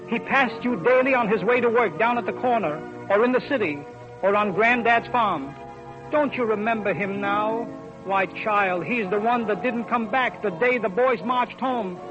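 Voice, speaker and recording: An American man giving a grave, declamatory patriotic oration in 1930s radio style, on an old broadcast recording. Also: very high voice. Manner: grave, declamatory, deliberate phrasing. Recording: muffled 1930s radio recording, music behind.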